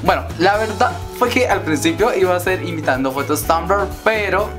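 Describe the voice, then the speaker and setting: A man's voice: sits high, moderate pace, over music. A teenage boy from Colombia with a Colombian accent, chatting to camera in his bedroom and telling a rambling story with many fillers. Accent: Colombian Spanish. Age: teens. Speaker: YouTuber host. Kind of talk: casual vlog chat to camera. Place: bedroom, indoors.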